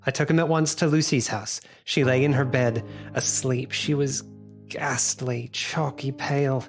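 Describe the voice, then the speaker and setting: An actor playing an earnest Victorian gentleman doctor who narrates gravely and formally in an audio drama. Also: mid-range voice, fairly even intonation, soft background music, close to an everyday voice. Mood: grave recounting. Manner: grave, formal, measured storytelling.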